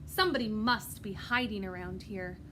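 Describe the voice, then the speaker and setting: A woman's voice: medium pitch, normal speed, background noise, lightly acted. Woman as a startled storybook night watchman. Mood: startled, suspicious. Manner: questioning, exclaiming. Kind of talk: storybook read-aloud.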